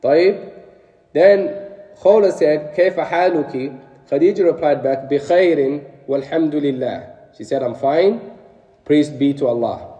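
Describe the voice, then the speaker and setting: A man teaching beginner Arabic, slowly reading short phrases and explaining each in English, stressing the sounds with care. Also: fairly high pitch, dark tone. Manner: stresses sounds carefully for learners. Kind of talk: classroom lesson. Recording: roomy echo, distant from mic.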